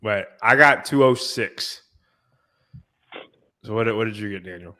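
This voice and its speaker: A man's voice: fairly low pitch, a little slow, pushed and bright. A man hosting a casual podcast chat, slightly nasal and congested from a cold, teasing and coaching his friends. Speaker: podcast host.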